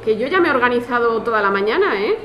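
A woman's voice: a little high, big pitch swings, fast talker, lo-fi sound, some chatter behind. A woman with an accent from Spain playing a distrustful, blunt, colloquial friend in a naturalistic audio drama. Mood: indignant. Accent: Spanish, from Spain.

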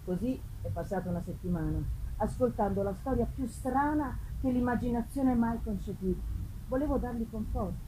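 A woman with a fairly high voice gives a theatrical reading of a gothic novel. Her delivery is solemn, with deliberate pauses. It is a live recording with clear noise behind her. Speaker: actress reading a novel aloud. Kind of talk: dramatic scripted narration of a gothic novel. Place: live festival performance.

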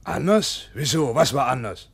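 A man's voice: mid-range voice, expressive, normal speed, breathy edge. An actor in a German radio play playing a matter-of-fact, easily exasperated businessman who defends himself. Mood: indignant.

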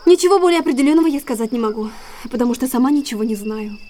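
An actress playing an anxious, secretive young gentlewoman in an audio drama, guarded and earnest. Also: on the high side, animated, very fast, slight background noise, natural voice. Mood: guarded, urgent.

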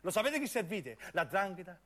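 Casting: male actor playing defiant idealistic young activist, high voice, accusing, radio theatre. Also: sing-song, fairly quick, a bit bright. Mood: indignant, accusing.

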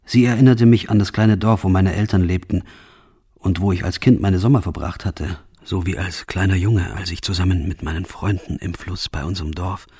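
An actor playing a reluctant, disillusioned soldier, narrating a radio drama monologue in a quiet, resigned, brooding manner. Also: male voice, sits low, fairly even intonation, moderate pace, slightly rough, exaggerated voice. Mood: brooding, wistful. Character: reluctant, disillusioned soldier, former locksmith, male, weary.

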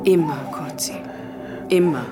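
An actress playing a protective, gentle companion who reassures a troubled man in a radio drama. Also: low voice, measured pace, heavy background noise. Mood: soothing. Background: loud music.

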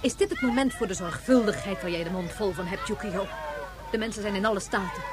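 A woman's voice: medium pitch, quick pace, close to an everyday voice. A woman playing a determined, protective space traveller in a radio drama, firm, defiant and urgent. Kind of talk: Dutch radio drama acting. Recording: noisy, with crowd uproar behind.

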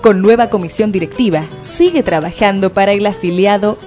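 Locutora argentina formal con música. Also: female voice, medium pitch, fairly quick, darkish tone. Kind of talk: scripted radio ad reading. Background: music bed.

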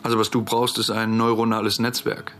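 A man's voice: fairly low pitch, little pitch movement, fairly quick, a bit bright, faint music behind. An actor playing a self-assured young male programmer in a radio drama, matter-of-fact and dry. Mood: confident, businesslike. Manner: matter-of-fact, cocky, explaining. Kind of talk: German radio drama acting.

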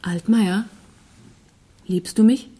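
A woman with a dark, husky voice acting a crook's lover in a German radio drama. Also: medium pitch, slow. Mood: searching. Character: female partner of a crook, questioning her lover.

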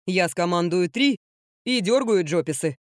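A woman voicing a practical, good-natured older uncle in an audiobook, grumbling gently and gruffly. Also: fairly low pitch, animated, dry recording, clean studio sound. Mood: good-natured, commanding. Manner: unhurried, gruffly good-humored.

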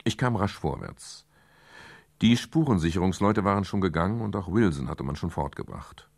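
Here is a hard-boiled male private eye in a radio crime drama. His voice is very low and gravelly, with a husky edge. He is dry, laconic and brusque, sardonic about the world around him. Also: animated, normal speed, no echo, exaggerated voice. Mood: laconic, brooding. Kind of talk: 1970s German radio crime drama, first-person narration.

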